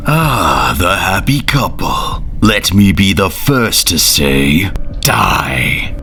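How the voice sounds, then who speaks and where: Casting: gloating evil king, deep and piercingly forceful, theatrical fan dub villainy. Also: sing-song, extremely slow, a little hiss or hum, heavily acted. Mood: gloating menace.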